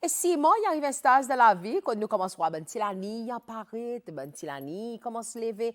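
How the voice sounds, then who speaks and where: A woman's voice: a little high, animated, fast talker, fairly bright tone. A woman hosts a morning radio talk show. Her voice rises and falls a lot as she asks friendly questions. She slips easily between Creole and English. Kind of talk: casual radio interview.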